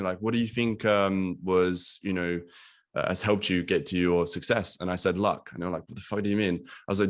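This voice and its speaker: A young man from Latvia talks loosely over a video call, his voice low. He fills his speech with ums and likes and swears casually. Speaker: guest business coach.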